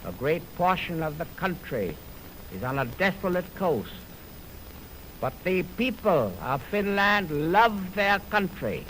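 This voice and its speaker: A man speaking as a 1920s radio announcer, introducing music in formal, deliberate, measured phrases on an old noisy broadcast recording. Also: high-pitched, big pitch swings, a bit bright. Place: radio studio. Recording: noisy 1929 radio aircheck on optical film.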